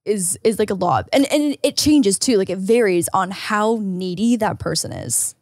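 A young woman co-hosting a podcast, chatting casually and laughing at herself, on a studio boom mic. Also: moderate pace, no room sound. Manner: giggly, self-mocking, lively. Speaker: podcast co-host. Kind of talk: casual chat. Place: small studio set with a couch. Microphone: studio mic on a boom arm.

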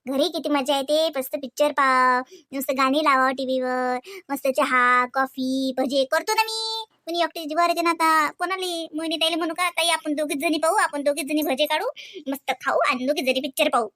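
A woman's voice: sits high, moderate pace, sharp tone, no room sound. A woman playing a chatty, dreamy, playful young housewife in a comedy cartoon, speaking with a Varhadi Marathi accent from Vidarbha in a dramatic, giggly manner. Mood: wistful grumbling.

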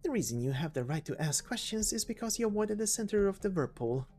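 A woman's voice: medium pitch, very animated, fast, mellow, faint music behind, close to an everyday voice. A woman voicing a prickly, sardonic young female mercenary, evasive and defiant, in amateur game story acting. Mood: bitter, defiant.

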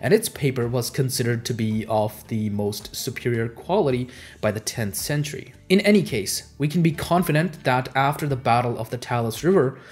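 A man's voice: medium pitch, moderate pace. A young man whose native language is Urdu gives scripted educational narration in an explanatory way, as host of a history channel. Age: young adult. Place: small home office, indoors. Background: background music.

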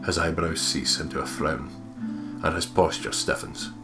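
Very deep-voiced man over music. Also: normal speed. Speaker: let's play host reading aloud. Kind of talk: narration and casual game commentary. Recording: music behind the voice.

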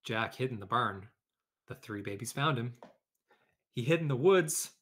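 A man's voice: medium pitch, lively intonation, unhurried, a bit bright. A man hosting a children's read-aloud lesson, speaking conversationally as an educator to pupils and teachers. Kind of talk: children's story read-aloud and informal classroom instruction.

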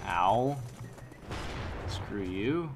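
Dude narrating his shooter game live, kinda hoarse from yelling, gunfire going off loud in the background. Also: lowish voice, animated, extremely slow, noisy background. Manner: chatty, yelling at the game in bursts. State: voice getting strained from yelling. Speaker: gameplay commentator. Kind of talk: live gameplay commentary. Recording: home mic under loud game gunfire.

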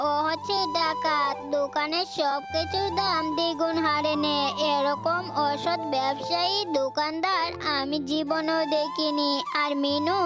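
A performer voicing a stern mother fox in a children's cartoon, scolding with emphasis. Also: sits high. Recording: loud music under the voice. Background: loud jingly children's music.

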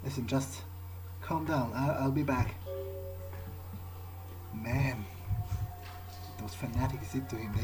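Man, medium pitch, in-character gaming commentary, halting, repeats words, background game music. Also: rough recording. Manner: hesitant, repeats words, thinking aloud. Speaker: gaming video player. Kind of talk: in-character roleplay commentary over a video game.

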